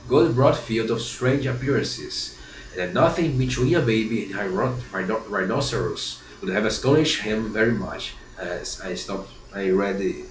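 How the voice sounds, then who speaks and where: A man's voice: on the low side, roomy sound, a little hiss or hum, poor audio. A man with a congested, stuffy nose from a cold, reading a classic novel aloud and often stopping to reread and correct himself. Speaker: reader of a book series.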